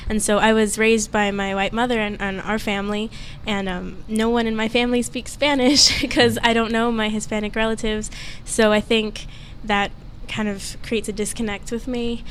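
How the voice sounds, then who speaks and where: Young woman talking openly with soft laughs. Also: medium pitch. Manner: open, laughs often while talking. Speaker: student panelist. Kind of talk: casual panel discussion. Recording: faint background noise.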